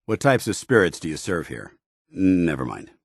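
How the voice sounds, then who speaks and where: An American actor playing a stern, principled military paladin in a video game, with clipped, formal, authoritative diction. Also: male voice, deep voice, very animated, normal speed, creaky, slightly rough, no echo, clean studio sound, heavily acted. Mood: calm, curious. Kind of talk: video game companion dialogue.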